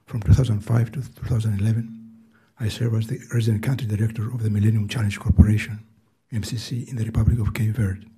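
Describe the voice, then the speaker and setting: Soft-voiced old Greek-accented man. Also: lowish voice, flat delivery, husky. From Greece. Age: 80s. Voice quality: soft, weak voice. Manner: earnest, halting, with restarts. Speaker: hearing witness. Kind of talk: reading prepared testimony. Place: hearing room.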